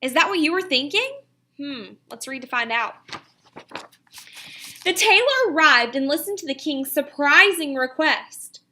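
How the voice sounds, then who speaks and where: A woman, an elementary teacher reading a picture book aloud and giving pupils instructions in an expressive, deliberate way. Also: sits high.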